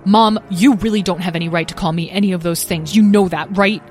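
A woman's voice: a little high, sing-song, fast, faint music behind, voice pushed up. A woman voicing a defiant, angry young male dragon in a storybook, confrontational and demanding in manner. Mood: accusing.

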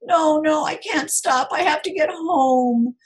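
Distraught female caller for an audiobook thriller, read by a woman narrator, high-pitched, halting and pleading. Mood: pleading, panicked. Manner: pleading, halting, repeating words. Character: distraught, tearful young woman on a phone call.

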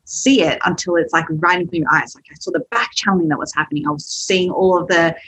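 A woman's voice: on the low side, fast talker, fairly bright tone, husky, low-quality recording. A young Australian woman with an Australian accent, telling her story as a podcast guest in a chatty way, laughing often. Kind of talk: podcast interview.

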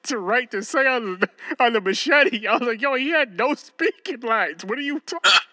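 A man's voice: very high-pitched, lively intonation, moderate pace, sharp tone. A man co-hosting a podcast, chatting loosely with a friend, joking and swearing as he goes. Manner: loose, joking, profane banter. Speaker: podcast co-host. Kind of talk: casual chat.

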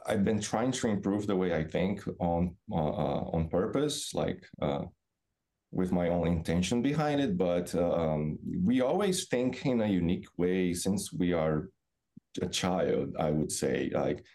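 Low, mellow Brazilian man, thoughtful. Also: animated. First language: Portuguese. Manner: thoughtful, explanatory, halting. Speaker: podcast guest, business professor. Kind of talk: reflective interview answers.

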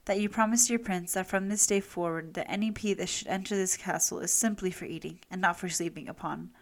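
A woman's voice: medium pitch, brisk, natural voice. A woman voicing a cheeky young princess in a storybook fairy tale.

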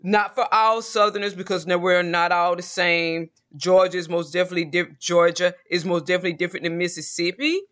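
A man's voice: sits high, pushed and bright. A man in his forties with a Southern American accent, talking to his viewers in a rambling, reflective monologue. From Southern United States. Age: 40s. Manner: rambling and reflective, with frequent restarts. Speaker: vlogger. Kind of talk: casual monologue to camera.

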